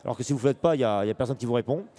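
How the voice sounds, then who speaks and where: A man's voice: mid-range voice, rapid-fire, mellow. A man speaking as a local elected official in a panel discussion, emphatic, often rephrasing himself mid-sentence. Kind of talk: panel discussion, unscripted argument.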